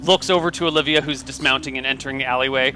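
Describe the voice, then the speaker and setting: A man narrating as game master in a tabletop game, relaxed with fillers, over a video call. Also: medium pitch, racing, very noisy.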